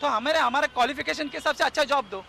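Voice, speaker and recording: Very high, gravelly man making a case. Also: racing, pushed and bright, a little hiss or hum. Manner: insistent, rhetorical questions. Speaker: interviewee. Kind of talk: street interview opinion. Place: outdoors on a city street.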